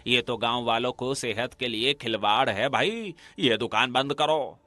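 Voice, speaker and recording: A man's voice: medium pitch, unhurried, pushed and bright. A man voicing a scheming cartoon village priest in a theatrical, accusing manner. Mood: outraged.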